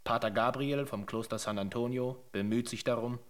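Male actor, sober chronicler, scripted radio play narration, mid-range pitch. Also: steady tone, normal speed, close to an everyday voice. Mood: measured. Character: sober chronicler narrating a gold rush history.

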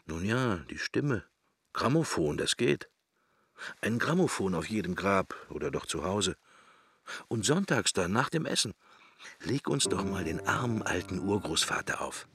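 A man's voice: sits low, sing-song, unhurried, fairly bright tone, slightly rough, full character voice. An actor playing a pensive middle-aged man in a radio drama, musing to himself wryly and morbidly in short, broken fragments. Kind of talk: German radio drama, interior monologue.

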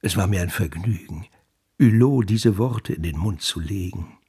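A man's voice: low-pitched, moderate pace, mellow, breathy edge, full character voice. An actor playing a wry, self-satisfied novelist who narrates and muses on his characters in a German radio drama, reflective and conversational.

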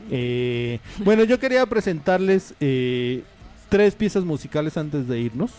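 A man's voice: a little high, very animated, a little slow, a little mellow, smooth. Chatty man hosting community radio. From Mexico. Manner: rambling, chatty, many fillers and asides. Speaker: radio host. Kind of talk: casual on-air chat. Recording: radio studio with faint music behind.

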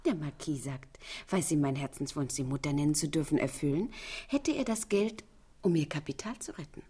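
An actress playing a scheming young social climber in a German radio play, polite, insinuating and calculating. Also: female voice, low-pitched, animated, brisk, dark tone, husky. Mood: sly, pleading. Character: scheming young woman, deferential yet bold, social climber.

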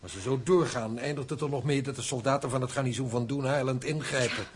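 A man playing an older clergyman, grave, kindly and measured, moralizing with concern in a radio play. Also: mid-range voice, fairly even intonation, husky, natural voice. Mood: grave, sorrowful.

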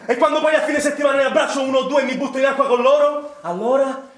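A man's voice: very high-pitched, fast, crowd noise. An actor playing an agitated male swimming instructor in a naturalistic stage drama, defensive and indignant, often stammering and repeating himself. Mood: indignant, distressed. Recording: distant, echoing stage pickup with noise.

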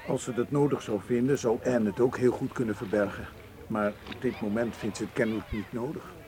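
An actor in a radio play voicing a calm, friendly Egyptian man with a measured, understated, gently dry manner. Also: mid-range voice, natural voice. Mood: calm, loyal. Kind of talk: radio drama acting.

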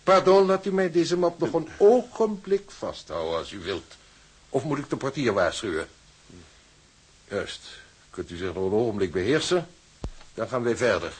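An actor in a radio drama playing a cold, condescending shipping official who speaks in a formal, officious, mock-polite way. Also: male voice, medium pitch, very animated, moderate pace, breathy edge. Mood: icy politeness. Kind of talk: Dutch radio drama acting.

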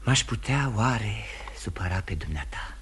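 An actor playing a devoted, courteous gentleman in a radio play, soft and husky, restrained and earnest in his manner. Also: on the low side, expressive, full character voice. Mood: tender, devoted. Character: courteous, devoted gentleman friend, quietly in love. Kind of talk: Romanian radio theatre acting.

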